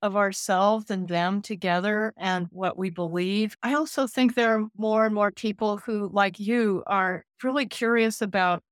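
A woman in her late sixties, a care manager and counselor, speaking gently and thoughtfully in an interview, often pausing to find her words. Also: mid-range voice, no echo, clean studio sound. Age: 60s. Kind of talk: reflective interview conversation.

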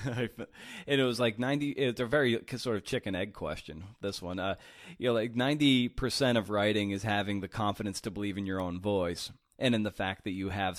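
Low-voiced man hosting, dry close sound. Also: no echo. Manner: conversational, rambling long questions. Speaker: podcast host. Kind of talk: casual interview questions.

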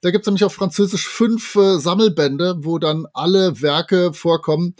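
A man's voice: sits high, moderate pace, darkish tone, dry recording, studio quality. A man, chatting casually and enthusiastically as a podcast co-host. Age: 50s. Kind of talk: casual chat.

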